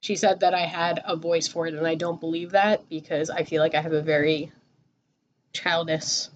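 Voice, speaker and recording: A woman's voice: low voice, fairly even intonation, moderate pace, highs cut off, a little hiss or hum. A young woman hosting a casual podcast chat, joking and rambling with plenty of fillers. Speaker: podcast host.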